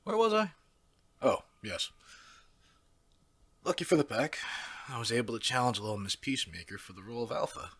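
A man playing a smug, menacing werewolf pack leader in an ASMR audio drama, speaking with raspy, mock-courteous condescension. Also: medium pitch, lively intonation, normal speed, pauses often, a bit bright. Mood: gloating, domineering.

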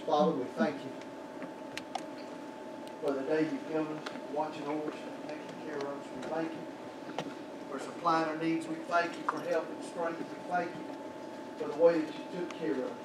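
Older pastor, fervent pulpit preacher, echoey sanctuary recording with room noise. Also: male voice, on the high side, steady tone, moderate pace, pauses often. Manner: fervent preaching with sudden shouted peaks, then quiet prayer. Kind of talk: sermon. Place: small church sanctuary. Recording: roomy church echo from far off, background noise.

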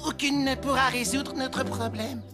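A woman's voice: high voice, fairly even intonation, unhurried, music in the background. A woman voicing a cheeky, scatterbrained little male animal adventurer in a cartoon, breezy and argumentative. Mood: flustered.

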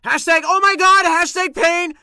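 A man's voice: very high-pitched, fairly even intonation, normal speed, pushed and bright, no echo, clean studio sound, voice pushed up, heavily acted. A man voicing bold, theatrical video game fighters with exaggerated, declamatory catchphrases. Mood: bold, excited.

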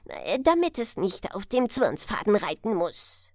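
A woman's voice: mid-range voice, huge pitch swings, unhurried, a little mellow, no echo, clean studio sound. A woman voicing a wise old male stork in a storybook tale, earnest and proclaiming solemnly. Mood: curt. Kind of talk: storybook character voice.

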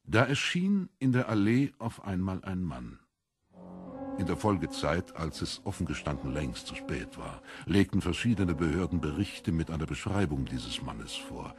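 A man narrating a literary story as a scripted audiobook, with measured, deliberate storytelling. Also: deep voice, very animated, soft background music.

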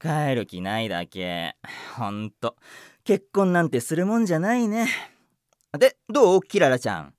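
A man's voice: a little high, sing-song, moderate pace, smooth, no echo, studio quality. An actor playing a cocky, flirtatious male voice actor with breezy, slangy talk in a voice drama. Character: swaggering, flirtatious male voice actor, unhappily married. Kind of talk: voice drama acting.

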